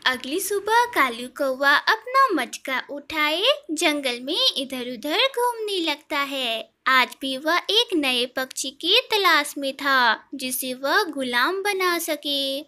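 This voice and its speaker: A woman's voice: high-pitched, expressive, slow, few pauses, pushed and bright. A woman narrating a scripted children's story.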